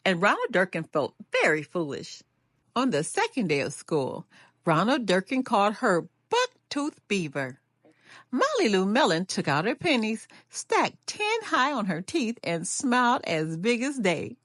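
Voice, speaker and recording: An older woman reading a children's picture book aloud as a storyteller, with an animated, deliberate delivery and drawn-out refrains. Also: mid-range voice, no room sound, clean studio sound.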